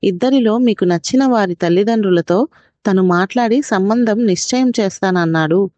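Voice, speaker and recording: A woman voicing a thrifty, observant village husband who reasons in a measured way in a children's story. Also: medium pitch, mellow, dry recording, lightly acted. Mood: calm, reflective.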